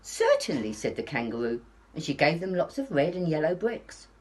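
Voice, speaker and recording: A woman's voice: low voice, lively intonation, moderate pace, slightly rough, rough recording. A woman storyteller reading expressively to young children, with a dramatic delivery. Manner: dramatic, with hushed asides. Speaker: early years storyteller.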